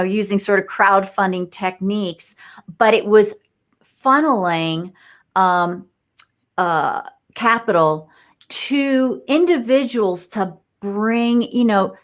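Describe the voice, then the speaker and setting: A woman guest in a live discussion, explaining her views in a thoughtful, rambling way with many fillers and restarts. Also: mid-range voice. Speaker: guest entrepreneur.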